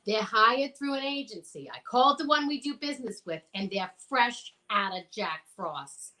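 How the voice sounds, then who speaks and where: A woman playing a frazzled, sharp-tongued department store employee in an amateur old-time radio drama, emphatic, slangy and exasperated. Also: fairly high pitch, expressive, moderate pace, slight background noise. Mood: pleading.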